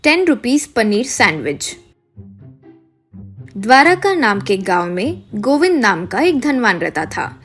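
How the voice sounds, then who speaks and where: A woman narrator reading a scripted moral tale. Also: fairly high pitch. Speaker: story narrator.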